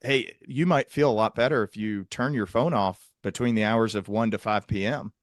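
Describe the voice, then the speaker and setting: Male psychiatrist host, lower-pitched, explanatory and technical, conversational interview delivery, very dry recording. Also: moderate pace, dry recording.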